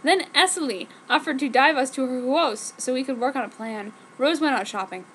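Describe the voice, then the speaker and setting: A woman's voice: high-pitched, fairly quick, fairly bright tone. A woman reading a story aloud in a mock-dramatic, melodramatic way, tripping over misspelled words. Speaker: amateur fanfiction reader. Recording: noticeable background noise.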